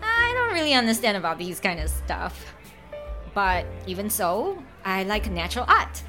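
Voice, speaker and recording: A woman's voice: medium pitch, very animated, moderate pace, bright, forceful tone, soft background music. A woman playing a forward, direct client in her thirties in audio drama dialogue. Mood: forward, matter-of-fact.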